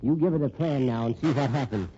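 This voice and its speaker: A muffled vintage radio recording with faint noise carries a man playing an elderly tycoon with a mellow voice. He is folksy, vain and boastful, quick to take offence and smitten with young women. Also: medium pitch, lightly acted. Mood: touchy, insistent. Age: older. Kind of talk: old-time radio drama acting.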